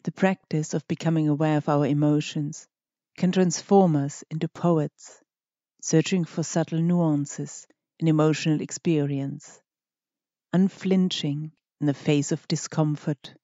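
Soft mellow guided meditation voice, gentle and measured w pauses, bit of a muffled recording. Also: medium pitch, fairly even intonation, no room sound. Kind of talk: guided meditation, scripted instruction.

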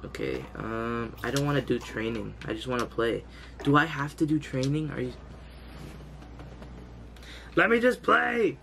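Young man, stuffy nose, slangy excited commentary, headset mic, noisy background. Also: medium pitch, unhurried, gravelly, pauses often. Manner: hyped, slangy, loud exclamations. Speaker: gaming youtuber. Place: indoor room, green screen. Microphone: headset, webcam framing.

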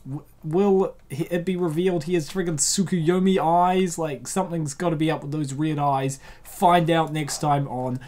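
A man's voice: a little high, steady tone, moderate pace, darkish tone. A man hosting a casual comic dub, rambling and joking in slangy commentary.

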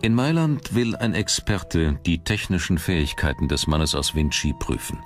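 A man's voice: low-pitched, very animated, moderate pace. A man reading scripted narration for a documentary as its narrator. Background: background music.

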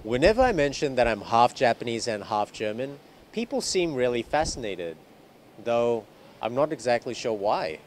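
A man's voice: mid-range voice, very animated, moderate pace, slight background noise. A man in his thirties hosting, giving an upbeat scripted intro to camera outdoors on a city street. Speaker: host.

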